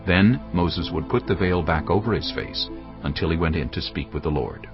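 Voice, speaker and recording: Deep voiced guy narrating the bible, dramatic and expressive, dull sound, loud music behind. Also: normal speed. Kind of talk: scripted scripture narration. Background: cinematic music.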